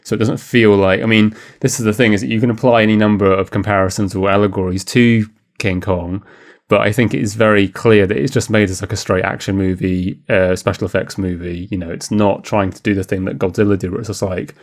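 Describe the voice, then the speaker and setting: A man's voice: lowish voice, steady tone, a little fast. A man co-hosting a podcast, talking in a casual, rambling, conversational way about films. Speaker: podcast co-host. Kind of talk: casual film discussion.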